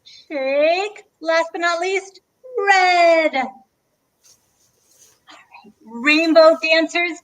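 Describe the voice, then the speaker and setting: A woman's voice: high voice, fairly bright tone, rough recording. A woman co-hosting children's story time, leading a movement rhyme in a playful, sing-song way.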